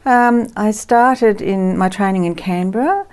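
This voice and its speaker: A woman, a guest in a TV studio interview, talking warmly and reflectively with frequent pauses. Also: mid-range voice. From Netherlands, raised in Australia. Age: 60s. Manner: warm, reflective, frequent ums and soft laughs.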